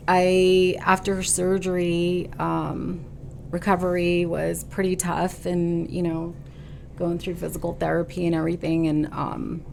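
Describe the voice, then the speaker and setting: Low, gravelly-voiced woman telling her story. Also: darkish tone, a little hiss or hum. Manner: halting, earnest storytelling with many fillers; stubborn, determined. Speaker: podcast guest, boutique owner. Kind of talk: casual conversational interview.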